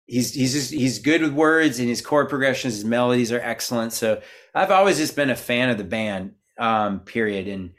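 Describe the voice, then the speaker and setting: A man's voice: medium pitch, normal speed, fairly bright tone. A middle-aged man from Minnesota, a musician guest in an interview, talking casually and rambling with many fillers and restarts. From Minnesota, USA.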